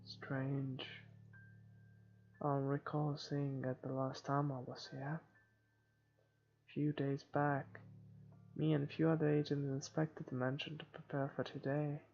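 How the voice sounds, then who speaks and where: Male playthrough reader, slightly high gravelly voice, dramatic but halting delivery of horror narration, dull mic, faint music behind. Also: flat delivery. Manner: halting, stumbles and rereads. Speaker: gamer reading a visual novel aloud. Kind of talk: dramatic read-aloud of first-person horror narration. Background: game music.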